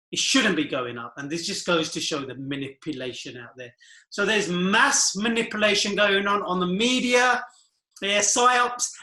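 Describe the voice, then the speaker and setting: A man's voice: high voice, pushed and bright. Earnest middle-aged man in an echoey room. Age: 40s. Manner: earnest, rhetorical questions, nervous laughs. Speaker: finance commentator. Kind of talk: casual monologue to camera.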